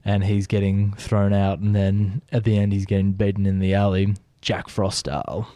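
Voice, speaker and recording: A man's voice: lowish voice, little pitch movement, moderate pace, mellow, dry recording. An Australian man co-hosting a podcast in casual, joking chat. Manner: rambling, joking, loose with swearing. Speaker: podcast co-host.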